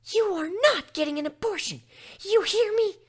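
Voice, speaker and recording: A woman's voice: very high-pitched, moderate pace, pitched above the performer's own voice. A woman voicing a small female pony turned stern and commanding in a dramatic story reading. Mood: fierce, commanding. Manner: commanding, fierce. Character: stern, forceful little female pony, usually shy. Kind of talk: fan fiction dramatic reading.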